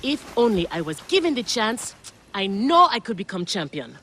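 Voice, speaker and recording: A woman's voice: mid-range voice, big pitch swings, moderate pace, background noise. A boisterous, swaggering woman gladiator. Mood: boastful excitement. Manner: hearty, exuberant, boastful. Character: boisterous, swaggering female gladiator, warm old friend. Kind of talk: dramatic video game acting.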